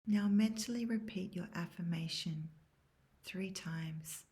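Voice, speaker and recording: A woman guiding a scripted yoga nidra meditation in a soft, breathy, near-whispered voice, calm and measured. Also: fairly low pitch. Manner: calm, measured, soothing instruction. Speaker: guided meditation instructor.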